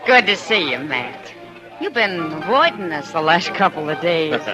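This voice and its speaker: A woman's voice: fairly low pitch, very animated, normal speed, over music. An actress playing a saloon woman who is fond of the marshal, teasing and then concerned, in 1950s radio drama style.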